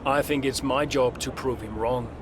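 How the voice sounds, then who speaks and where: An actor playing a focused, warm veteran racing driver and father in a video game cutscene. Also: medium pitch, fairly even intonation, moderate pace, background noise, close to an everyday voice. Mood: composed, determined.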